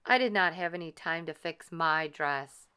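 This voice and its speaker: A woman voicing a sweet, gentle young fairy-tale heroine in a children's storybook, speaking earnestly. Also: low voice, normal speed, clear, resonant tone. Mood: downcast.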